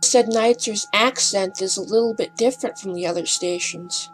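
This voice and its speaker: A woman narrates an amateur documentary from a script, speaking carefully with a slight hesitancy and some breathiness. Her voice sits at a middling pitch, and music plays behind her. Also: moderate pace, low-quality recording.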